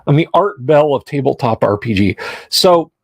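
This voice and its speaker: A man's voice: mid-range voice, lively intonation, moderate pace, no room sound. A man hosting a casual livestream chat, rambling and self-deprecating, often restarting his sentences. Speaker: livestream host.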